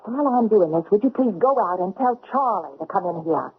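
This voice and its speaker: An actress playing a shrewd, scolding frontier widow postmistress in a radio drama, commanding and folksy. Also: female voice, mid-range voice, lively intonation, fairly quick, a little hiss or hum, natural voice. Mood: brisk, commanding. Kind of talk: old-time radio drama acting. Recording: muffled old radio drama recording.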